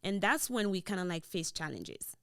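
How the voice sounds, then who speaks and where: A young woman from Haiti with a Haitian Creole accent, a podcast guest telling her story in relaxed chat and slipping into Creole, on a studio boom mic. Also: moderate pace, gravelly, no echo. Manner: switches into Creole mid-sentence, relaxed storytelling. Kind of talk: casual interview chat. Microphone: boom-mounted studio mic.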